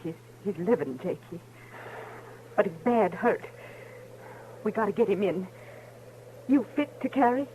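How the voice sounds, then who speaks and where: A woman plays a stern, worn older mother on the frontier in an old radio western. Her speech is halting and grave, giving orders with resolve. The vintage recording has obvious noise. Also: mid-range voice, animated, phone-quality sound, close to an everyday voice. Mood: grave, firm. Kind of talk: 1950s radio western acting.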